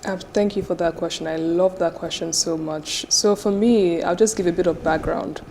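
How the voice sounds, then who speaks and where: A young woman with a Nigerian accent, a company founder answering interview questions with lively storytelling. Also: on the low side, moderate pace, slight background noise. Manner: animated storytelling, acts out quoted voices. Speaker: business founder, guest.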